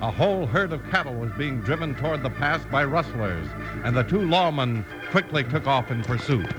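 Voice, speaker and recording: A man's voice: mid-range voice, expressive, normal speed, over music, low-quality recording. An American man announcing in vintage radio style, with emphatic, enthusiastic scripted delivery.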